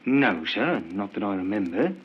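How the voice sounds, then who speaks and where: An actor playing a humble male porter, halting and deferential, in an old radio crime drama. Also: lowish voice, sing-song, slightly rough. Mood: halting, helpful. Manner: halting, repeats words, deferential. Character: humble male building porter, unsure but helpful witness. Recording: muffled vintage radio recording.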